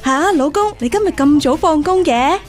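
A woman with a Hong Kong Cantonese accent playing a brisk, nagging, affectionate pig mother in a radio drama. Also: sits high, expressive, faint music behind.

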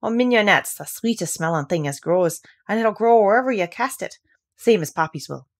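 A woman voicing a cheerful country boy with a Yorkshire accent, talking quickly and easily with good humour. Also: medium pitch, animated. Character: cheerful country boy, easygoing, friendly with animals.

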